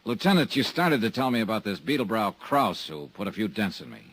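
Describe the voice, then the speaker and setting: An actor playing a wry, tough American insurance investigator in an old time radio drama, talking in casual, sardonic slang. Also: male voice, fairly low pitch, sing-song, fairly quick, fairly bright tone.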